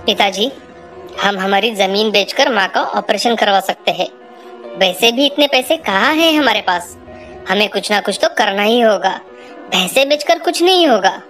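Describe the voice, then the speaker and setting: A woman's voice: medium pitch, animated, normal speed, a bit bright, lightly acted. A woman voicing an earnest, practical and dutiful young village son in a cartoon moral story. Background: music.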